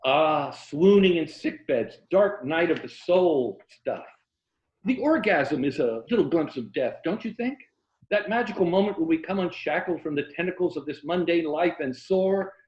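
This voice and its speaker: A man's voice: a little high, lively intonation, a little mellow, voice pushed up, lightly acted. A man acting out a grandiose middle-aged rogue from a novel, full of florid romantic pronouncements. He draws out his emphasis like a stage orator. The sound is roomy and slightly dull. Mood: musing, florid. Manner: florid, declamatory, drawn-out emphasis. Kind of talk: audiobook-like novel reading. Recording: roomy, dull video-call audio.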